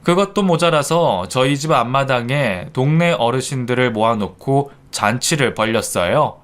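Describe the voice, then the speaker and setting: Man, storyteller, calm, reflective, first-person narration, average pitch. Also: normal speed.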